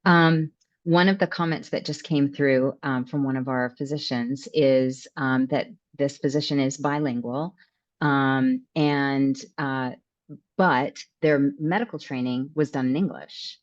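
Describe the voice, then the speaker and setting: A woman's voice: a bass voice, fairly even intonation, measured pace, lo-fi sound. A woman hosting a webinar discussion, prompting her guest with follow-up questions and relaying audience comments. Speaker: host and interpreter.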